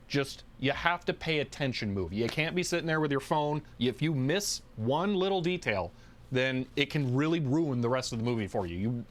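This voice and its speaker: A man hosting a livestream, chatting casually and thinking aloud in a halting way as he answers viewers. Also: medium pitch. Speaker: livestream host.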